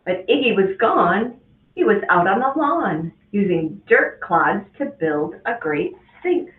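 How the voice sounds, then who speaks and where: A woman reading a rhyming picture book aloud to children as a guest reader, with an expressive, sing-song delivery. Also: medium pitch, slow. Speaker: guest reader, school administrator. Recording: tinny, echoey, from a distance.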